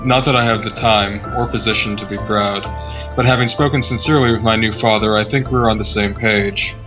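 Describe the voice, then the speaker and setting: A man's voice: lowish voice, steady tone, fairly quick, lightly acted. A man voicing a proud, bitter young nobleman from a poor family, read formally and solemnly from a visual novel. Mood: solemn, resolute. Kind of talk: dramatic reading of visual novel letter. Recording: dull audio, music clearly behind.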